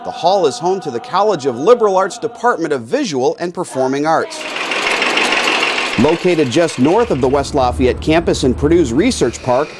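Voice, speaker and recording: Animated, higher-pitched American male newsreel narrator. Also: background noise. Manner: measured, announcer-like delivery. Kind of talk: scripted narration. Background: music.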